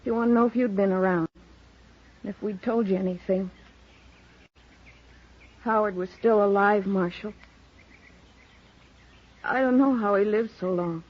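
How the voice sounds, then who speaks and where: An actress playing a weary, stoic frontier widow with plain country speech in a 1950s radio western. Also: female voice, mid-range voice, measured pace, long pauses, close to an everyday voice. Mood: bitter, exhausted. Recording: muffled old radio recording.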